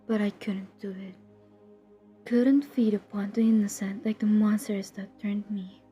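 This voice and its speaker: Woman acting as ancient lady vampire who hunts her own kind, super husky half-whispered confession, mellow and guilt-ridden but determined, audio roleplay. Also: medium pitch, fairly even intonation, highs cut off, soft background music. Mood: haunted, pained. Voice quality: breathy, husky, often dropping into whisper. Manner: hushed, confessional, halting, earnest. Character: centuries-old female vampire hunter, guilt-ridden, haunted, determined. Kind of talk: intimate dramatic audio roleplay addressed to the listener.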